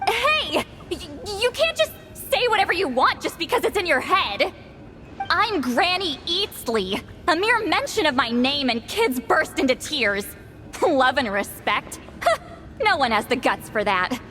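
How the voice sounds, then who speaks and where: A brash game heroine with a bright, forceful edge. She is a short-tempered shaman who boasts and huffs, with light music behind her. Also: sits high, very animated, a little slow, heavily acted. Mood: huffy, flustered. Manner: boastful, huffy. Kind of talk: anime-style video game voice acting.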